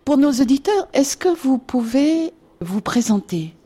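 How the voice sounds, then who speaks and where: Woman, radio host, interviewing, mellow tone, husky voice. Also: sits high, sing-song, unhurried.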